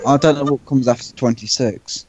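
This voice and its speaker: A man giving short, deadpan, offhand answers in a casual joking chat. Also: mid-range voice, brisk, lo-fi sound, music in the background. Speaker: interviewee, amateur story writer.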